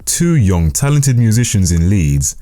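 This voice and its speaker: A man's voice: fairly low pitch, big pitch swings, a little slow, dark tone. A man narrating a short documentary in a scripted, storytelling delivery.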